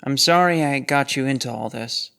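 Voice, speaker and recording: A man's voice: mid-range voice, normal speed, vocal fry, sharp tone, clear, resonant tone. A man playing a reassuring, apologetic crew member in a science fiction audio drama. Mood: concerned, reassuring.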